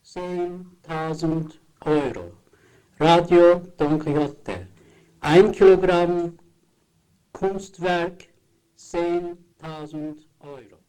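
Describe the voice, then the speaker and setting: A man chanting short repeated slogans in a slow, chant-like way. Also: a little high, fairly even intonation, warm tone, clean tone, poor audio. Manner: repetitive, chant-like.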